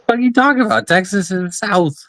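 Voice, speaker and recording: A man co-hosting a casual podcast chat, relaxed but quick to argue a point, with plenty of "like". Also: sits high, no echo. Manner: relaxed, argumentative, lots of "like". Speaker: podcast co-host.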